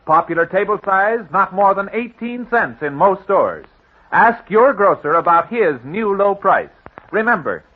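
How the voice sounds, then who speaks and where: A male commercial announcer reading punchy, scripted 1940s radio advertising. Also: sits high. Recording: tinny old radio broadcast.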